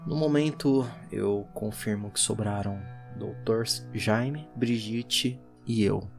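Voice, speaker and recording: A performer with a Brazilian accent voicing a distraught, defiant, hunted woman occultist in a halting, emotionally charged audio drama monologue. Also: mid-range voice, creaky, darkish tone. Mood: grim, resigned. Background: music.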